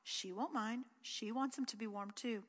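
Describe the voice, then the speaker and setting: A woman voicing a stubborn, secretive six-year-old girl who speaks quietly and earnestly. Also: fairly high pitch, moderate pace, big-room sound, close to an everyday voice.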